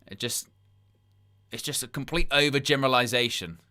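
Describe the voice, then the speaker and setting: Bright, crisp-voiced man hosting a livestream. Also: mid-range voice, normal speed. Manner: incredulous, rambling asides; reads chat comments aloud and plugs likes. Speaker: wrestling livestream host. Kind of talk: live watchalong commentary.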